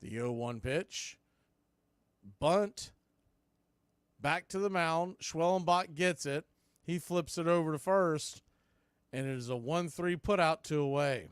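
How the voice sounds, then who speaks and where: A man's voice: on the high side, slow, pauses often, clear, resonant tone, dry recording, studio quality. A man doing live radio play-by-play of a baseball game as the announcer, in short clipped phrases. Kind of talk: live radio sports commentary.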